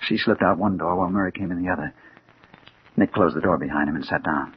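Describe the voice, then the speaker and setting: A man's voice: low-pitched, fast, breathy edge. An actor playing a haunted, smitten investigator who tells his own story earnestly in a 1940s radio mystery. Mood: brooding. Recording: muffled, noisy 1940s radio recording.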